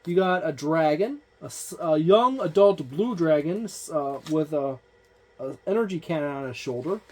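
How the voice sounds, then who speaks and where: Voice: medium pitch, animated, moderate pace. A tabletop gaming channel host talking through a book page by page in a loose, rambling way with many fillers. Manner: points things out on the page. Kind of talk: casual book walkthrough.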